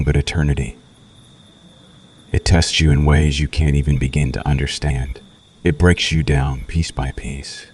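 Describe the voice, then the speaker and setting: A menacing, seductive vampire acted by a man speaks in an extremely deep register. He takes his time, lingering on dramatic pauses with a brooding, teasing patience, in the intimate manner of an ASMR roleplay. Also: a little mellow, breathy edge, heavily acted. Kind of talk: slow ASMR roleplay monologue.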